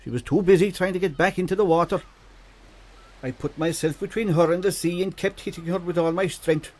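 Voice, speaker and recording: An actor playing a genial old storyteller from an island, with an Irish accent, folksy and vivid, in a radio drama. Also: male voice, sits high, a little fast, a little mellow. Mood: animated storytelling. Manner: folksy, teasing, vivid narrator. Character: genial old island storyteller, male, spinning yarns for children.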